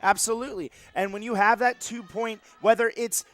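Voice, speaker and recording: Bright, high-pitched male volleyball analyst. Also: fairly even intonation, normal speed. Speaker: volleyball color commentator. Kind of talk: live sports analysis.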